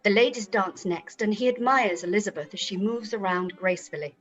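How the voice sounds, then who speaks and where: Woman narrator, mid-pitched, over faint music. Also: fairly quick, muffled recording. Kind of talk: scripted stage-direction narration.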